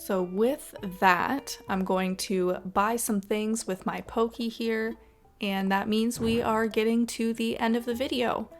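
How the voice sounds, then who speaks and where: A woman's voice: on the high side, fairly even intonation, unhurried. A woman hosting a gaming video with casual, chatty, encouraging commentary in an informal way. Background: background music.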